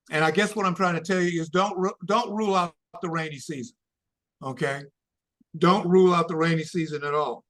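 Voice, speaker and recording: Slow, bright-voiced man losing his voice. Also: high voice. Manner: slow, deliberate, with frequent checks like "okay?" and "all right?". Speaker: travel consultant guest. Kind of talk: casual advice talk.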